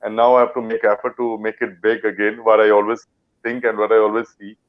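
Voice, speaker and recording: A man's voice: fairly low pitch, flat delivery, fast, narrow, tinny audio. A man from Punjab with an Indian English accent, an actor chatting in an interview, rambling with fillers and restarts. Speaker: actor guest. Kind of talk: casual interview chat.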